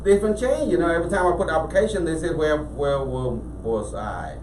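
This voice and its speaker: A man from Cambodia with a Cambodian accent, a business owner telling his story as a guest in a casual interview, animated and full of restarts. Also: on the high side, fast talker. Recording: echoey room, mic at a distance, faint background noise.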